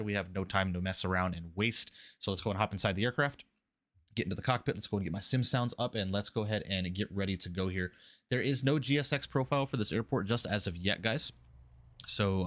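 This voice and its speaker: A man's voice: on the low side, fairly bright tone. A man live-streaming a flight sim on a headset mic, slightly nasal and congested from a mild flu, upbeat as he greets chat and runs through cockpit setup. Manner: upbeat, reads out chat names and cockpit steps. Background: background music.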